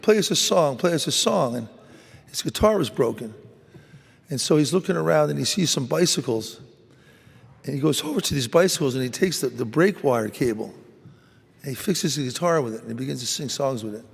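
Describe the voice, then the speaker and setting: A man from a mission team telling a story to a church congregation, halting and earnest, repeating words as he goes. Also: mid-range voice, expressive, vocal fry, slightly rough. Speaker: mission worker speaking at Mass. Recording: church hall reverb.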